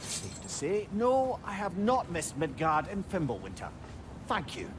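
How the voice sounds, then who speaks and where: A man's voice: a little high, huge pitch swings, unhurried, background noise. A man playing a clever, talkative old advisor in a video game, with a Scottish accent and a wry, droll manner. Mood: wry, chatty. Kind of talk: cinematic video game acting.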